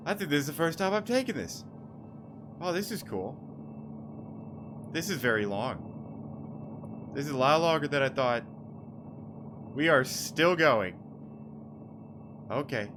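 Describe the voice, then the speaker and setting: Slangy young man doing gameplay commentary. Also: mid-range voice. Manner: slangy, sweary, jokey. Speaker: gaming YouTuber. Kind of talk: casual let's play commentary.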